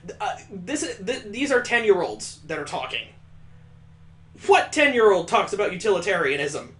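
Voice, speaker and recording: A man with a slightly high voice plays through a visual novel and reads it aloud. He keeps cutting in with sarcastic, disbelieving remarks. The room around him sounds echoey. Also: big pitch swings, normal speed, fairly bright tone. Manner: sarcastic, incredulous asides. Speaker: let's play host. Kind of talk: reading a visual novel aloud with joking commentary.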